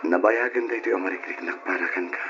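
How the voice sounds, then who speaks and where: A man's voice: deep voice, brisk, a bit bright, a little hiss or hum. An actor playing a young man in love, an earnest and playful suitor in a radio drama. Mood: shyly earnest. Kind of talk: old radio drama acting. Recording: dull, lo-fi old radio drama.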